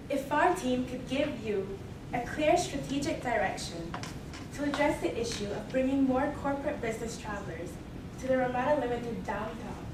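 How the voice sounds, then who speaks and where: A young female student delivers a rehearsed business pitch with steady formality. Her slightly high voice comes from far off in a reverberant hall. Steady background noise sits under it. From Mexico and Belize. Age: young adult. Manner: measured, formal pitching with repeated "moving right along". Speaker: student presenter. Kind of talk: rehearsed case presentation. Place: large echoey hall, heard from afar. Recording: distant echoey hall recording with noise.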